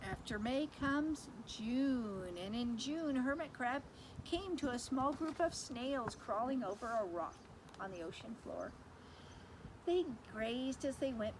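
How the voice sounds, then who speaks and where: A woman's voice: fairly high pitch, lively intonation, measured pace. A woman teacher reading a picture book to children expressively, adding explanations and questions for them. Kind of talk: storytime read-aloud with asides to children. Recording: heavy background noise.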